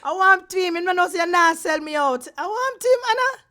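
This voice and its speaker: An exuberant, playful woman with a Jamaican accent in casual, excited chat. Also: very high voice, brisk.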